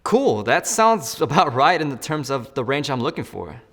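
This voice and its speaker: A man's voice: a little high, sing-song, normal speed, fairly bright tone, in a large hall. A man role-playing a job candidate in a phone interview and explaining each step to the viewer in an upbeat way. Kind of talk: scripted role-play with asides to the viewer.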